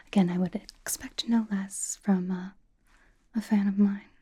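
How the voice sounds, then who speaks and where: Girl playing a vain k-pop star doting on a fan, asmr whisper, soft dark tone, unhurried, deadpan teasing. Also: medium pitch. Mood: playful, smug. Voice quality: breathy whisper. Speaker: ASMR roleplay performer.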